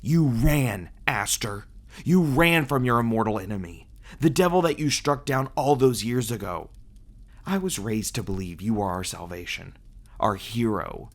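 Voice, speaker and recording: A man's voice: mid-range voice, lively intonation, unhurried. A man playing a brooding, bitter vampire in an ASMR audio roleplay. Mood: angry, accusing. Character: brooding, bitter male vampire, resentful of his absent guardians.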